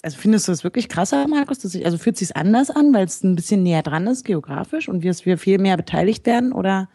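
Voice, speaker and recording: Husky-voiced woman thinking aloud. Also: medium pitch, expressive, fast talker, warm tone. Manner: rambling, searching for words, frank. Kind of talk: casual discussion.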